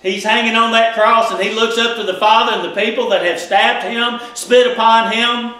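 A middle-aged man preaching in a small church, his fairly high voice bouncing around a very echoey room. He talks in a folksy, animated way, heard through a headset mic. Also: normal speed. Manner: folksy, animated, gesturing widely while preaching. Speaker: pastor. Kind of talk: sermon.

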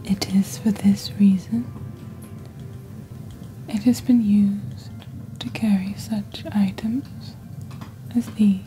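A young woman playing a composed witch who presents a shopping channel in soft, breathy, half-whispered ASMR. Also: mid-range voice, steady tone, soft background music, lightly acted, poor audio. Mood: composed, mysterious. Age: young adult. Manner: slow, deliberate, measured. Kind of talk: ASMR roleplay, scripted product presentation.

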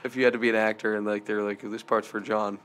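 A man's voice: lowish voice, little pitch movement, quick pace, fairly bright tone. A man from Montana co-hosting a podcast, chatting casually and teasing his friend with frequent laughs. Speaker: podcast co-host. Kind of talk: casual chat.

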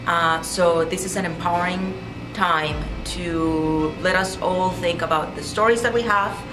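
Woman, lowish voice, a bit distant from the mic, hallway, music behind. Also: fairly even intonation, unhurried. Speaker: workshop leader. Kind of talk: interview. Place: school hallway.

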